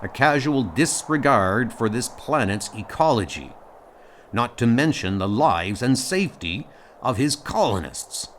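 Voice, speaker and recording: A man voicing a gruff, blunt, exasperated starship doctor in an audio comic dub. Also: mid-range voice, very animated, measured pace, a little hiss or hum. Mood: insistent. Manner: blunt, argumentative, sardonic.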